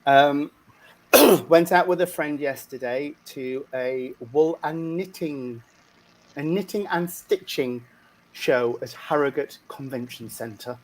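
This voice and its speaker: A man's voice: a little high, takes it slowly, a little hiss or hum, poor audio. Congested man with a cold. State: nasal from a cold. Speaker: reverend co-host. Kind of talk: casual livestream chat. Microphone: video call.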